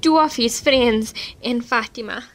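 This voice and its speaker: A woman's voice: a little high, measured pace. A woman playing a defiant, pleading teenage daughter in an audio drama. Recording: loud background noise.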